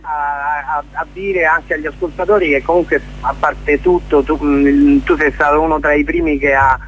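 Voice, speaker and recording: Halting higher-voiced man, dull radio sound. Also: steady tone, fairly bright tone, slight background noise. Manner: halting, searching for words. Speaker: theatre maker, interview guest. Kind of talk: casual radio interview.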